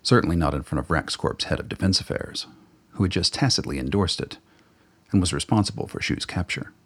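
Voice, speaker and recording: A man narrating a radio drama in a measured storytelling way, with a gravelly, husky voice. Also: sits low, lively intonation.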